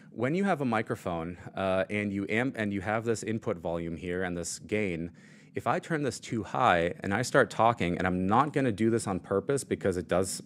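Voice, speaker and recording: A man with a low voice explaining microphone settings in a casual live demo, with lots of fillers, speaking into a studio mic at his desk. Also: a little hiss or hum. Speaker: product demo presenter. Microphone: studio mic on shock mount and arm.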